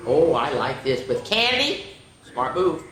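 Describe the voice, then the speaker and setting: A woman's voice: sits low, a little slow. Woman reading children's stories in echoey room. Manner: expressive, playful, talks to young listeners, comments as reading. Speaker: children's story time host. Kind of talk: animated read-aloud of picture books with chatty asides. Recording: very echoey room with faint noise.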